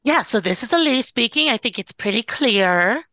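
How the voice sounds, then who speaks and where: A young woman with a cold and a raspy voice, chatting and giggling as a podcast co-host. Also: a little high, expressive, normal speed, sharp tone, dry recording. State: has a cold. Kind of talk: casual chat.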